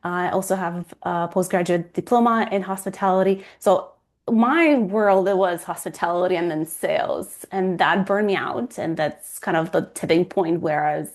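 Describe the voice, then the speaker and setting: Romanian-accented woman, earnest and halting. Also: fairly low pitch. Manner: earnest, searching for words, frequent restarts. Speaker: guest, fertility coach. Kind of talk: casual interview talk.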